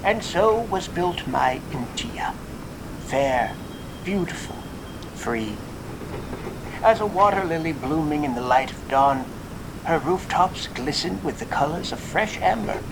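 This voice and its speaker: A man's voice: lively intonation, measured pace. A man playing a proud, lofty storytelling traveler. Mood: solemn, romantic. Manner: florid, lofty, old-fashioned phrasing. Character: proud, wistful male traveler praising his home city. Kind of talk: audio drama acting. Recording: noisy, constant low rumble behind.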